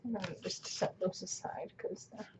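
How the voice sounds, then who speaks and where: A woman with a slightly hoarse, raspy voice chats casually, thinking aloud as she works through a craft project. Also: on the low side, fairly even intonation, normal speed. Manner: thinking aloud while working. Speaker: planner vlogger. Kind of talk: casual chatty craft narration. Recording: muffled sound with clear background noise.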